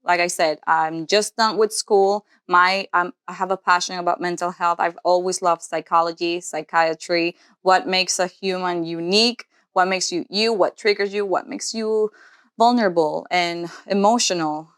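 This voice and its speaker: A woman's voice: fairly low pitch, steady tone, moderate pace, a bit bright, smooth, no room sound, clean studio sound. A woman in her twenties, born in Cuba, co-hosting a podcast in an earnest, casual way, thinking aloud as she goes. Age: 20s. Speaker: podcast co-host, nurse practitioner.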